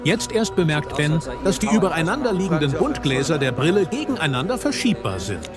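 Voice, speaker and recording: A man's voice: a little high, big pitch swings, fairly quick, over loud music. A man reading scripted narration for an audio version of a film. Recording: voice-over on loud film soundtrack.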